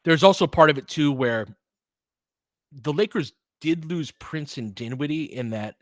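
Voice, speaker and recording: A man's voice: on the high side, expressive, unhurried, no echo, studio quality. A man hosting casual live basketball commentary and analysis, thinking aloud in a conversational way. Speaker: host, fan play-by-play commentator.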